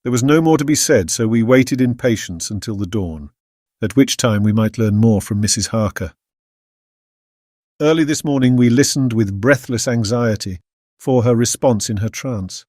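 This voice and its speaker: Actor doing earnest british victorian gent reading his diary, medium pitch, measured n solemn, very dry audio. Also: darkish tone, no room sound, lightly acted. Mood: solemn, anxious. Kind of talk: scripted dramatic audiobook narration.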